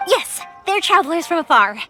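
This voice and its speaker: A cheerful young fireworks maker in an anime game, very high and expressive. She is caring and impulsive, with music clearly behind her. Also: moderate pace, full character voice. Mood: reassuring. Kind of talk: anime video game voice acting.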